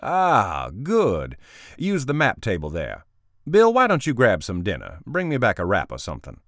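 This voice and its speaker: A man voicing an irritable, wealthy male tech boss who is brash, impatient and quick to swear. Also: fairly low pitch, huge pitch swings, a bit bright, no echo, studio quality, pitched above the performer's own voice, exaggerated voice. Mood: brisk.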